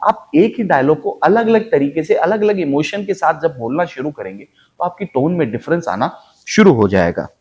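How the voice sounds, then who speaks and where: A man's voice: fairly high pitch, lively intonation, normal speed, darkish tone, dull audio. An Indian-accented man speaking as an acting coach, giving an instructional talk in an emphatic way with repeated, parallel phrases. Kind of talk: instructional talk to viewers, demonstrating voice techniques.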